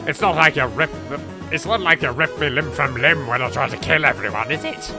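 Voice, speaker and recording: A man's voice: a little high, brisk, over music. A man with an English accent hosting a let's play, giving theatrical, wry, playful commentary.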